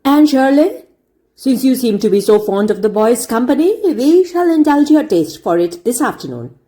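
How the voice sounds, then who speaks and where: A woman's voice: fairly high pitch, very animated, normal speed, dark tone, voice pushed up. A woman voicing a stern, sarcastic male schoolmaster in a storybook reading, giving cold commands. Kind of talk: storybook character voice in read-aloud.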